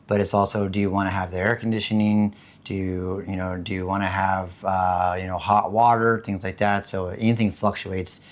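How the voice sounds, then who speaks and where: A man from the United States with a hoarse voice after being sick, chatting casually and rambling about living costs, with many fillers and restarts. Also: deep voice, flat delivery, moderate pace, few pauses. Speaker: vlogger. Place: home in the Philippines.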